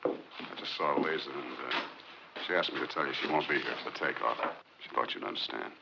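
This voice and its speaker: An actor playing a stoic, calmly confident male space pilot in a 1950s science fiction film. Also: extremely low pitch, a little fast, vocal fry. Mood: subdued, resolute. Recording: muffled, noisy old film soundtrack.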